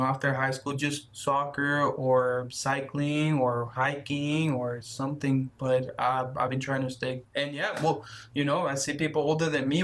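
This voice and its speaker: A man in his thirties, a guest runner in a casual interview, talking haltingly with repeated words and shy laughs. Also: medium pitch, fairly bright tone. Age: 30s.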